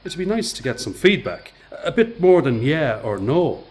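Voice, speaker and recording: A man's voice: on the high side, very animated, normal speed, darkish tone, slight background noise. An actor with an Irish accent playing a patient, kindly tradesman in a radio play, explaining and encouraging in informal speech. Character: patient, kindly male tradesman mentoring a young apprentice.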